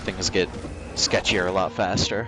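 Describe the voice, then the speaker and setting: Low-voiced man streaming a game. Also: steady tone, a little slow. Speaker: game livestreamer. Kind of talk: casual live gameplay commentary. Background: game music.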